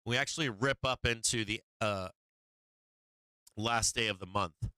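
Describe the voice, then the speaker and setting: A man's voice: fairly low pitch, a little slow, bright, forceful tone, clean tone, no room sound, rough recording. A man hosting a stock market livestream, talking casually and profanely through charts with many fillers while he is losing his voice.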